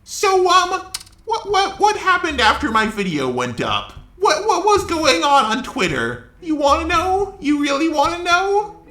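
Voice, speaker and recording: A man's voice: extremely high pitch, big pitch swings, a little slow, bright, forceful tone, reverberant. A man delivering blunt, sweary, opinionated commentary as a video host, often asking rhetorical questions. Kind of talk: opinionated drama commentary, talking to camera audience.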